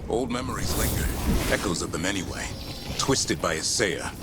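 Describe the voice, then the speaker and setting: An actor playing an earnest, righteous warrior companion in a fantasy video game, grave and impassioned. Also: male voice, low voice, animated, moderate pace, heavy background noise. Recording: loud game music behind.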